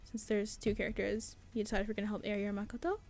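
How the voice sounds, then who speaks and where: A woman's voice: medium pitch, flat delivery, racing, a little mellow. A young woman student vlogging casually, rambling with many fillers and restarts. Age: young adult. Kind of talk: casual devlog chat.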